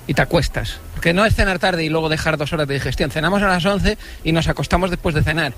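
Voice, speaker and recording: A man's voice: fairly high pitch, a bit bright. A man from Galicia doing joking street interviews outdoors on a busy street. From Galicia, Spain. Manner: fast, joking, teasing. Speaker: street reporter.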